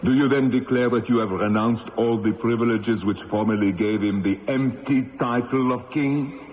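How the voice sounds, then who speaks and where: An actor playing a stern revolutionary judge who interrogates in a formal, declamatory way in a radio drama. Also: male voice, medium pitch, fairly even intonation, moderate pace, phone-quality sound, lightly acted. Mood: accusing. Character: stern, accusing male revolutionary tribunal judge. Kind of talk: 1970s radio drama acting. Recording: thin old radio recording, reverberant, faint noise.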